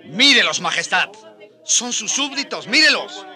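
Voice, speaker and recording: A man's voice: high voice, very animated, a little slow, sharp tone, heavily acted. An actor playing an impassioned crusading friar in a radio drama, urgent, insistent and declamatory with his monarch. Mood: urgent, indignant.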